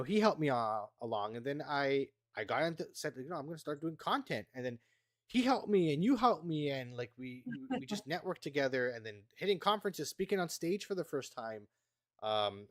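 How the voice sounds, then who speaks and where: A young man as a podcast guest in casual chat, talking haltingly with many restarts. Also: medium pitch, dry recording, studio quality.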